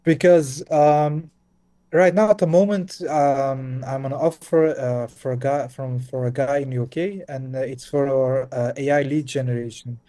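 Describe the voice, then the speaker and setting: A man's voice: mid-range voice, fairly even intonation, a little slow, dark tone. A man from Belgium with a Belgian Dutch accent, a Dutch speaker, asking a question on a video call, hesitant with many uhs and restarts. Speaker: caller on a group call.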